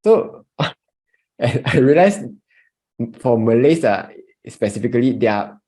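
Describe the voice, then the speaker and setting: A man's voice: mid-range voice, animated, takes it slowly, mellow. A man with a Singaporean English accent, telling everyday stories in a casual podcast chat. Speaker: podcast co-host.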